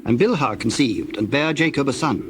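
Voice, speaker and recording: Mid-pitched man narrating scripture. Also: animated. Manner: formal, measured storytelling. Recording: clear background noise, birdsong and doves.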